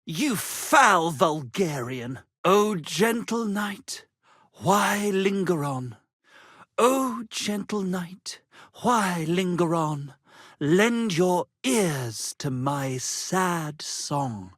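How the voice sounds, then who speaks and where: A melodramatic male minstrel from a medieval video game. His delivery is florid and unhurried, swinging widely in pitch. He sounds vain and easily affronted, recorded dry and close. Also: lively intonation, fairly bright tone, no room sound, heavily acted. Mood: pompous, disdainful. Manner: florid, theatrical, declamatory. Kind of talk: video game character acting.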